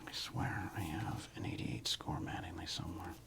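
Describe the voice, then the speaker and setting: A man whispers in a low register, with very heavy creak running through his words. He sounds like an ASMR host thinking aloud, unscripted and rambling, in a relaxed, casual way. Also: moderate pace. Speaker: host of a sports card ASMR channel. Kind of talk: ASMR, unscripted whispered commentary on a card collection.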